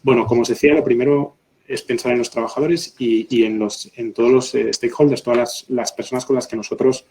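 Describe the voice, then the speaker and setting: Man explaining calmly with tag questions. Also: medium pitch, fairly quick, low-quality recording. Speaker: pharma executive. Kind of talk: webinar panel discussion.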